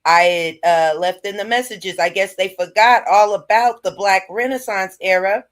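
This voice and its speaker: A woman co-hosting a live-stream discussion, speaking bluntly in a relaxed way. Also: medium pitch, moderate pace. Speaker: co-host.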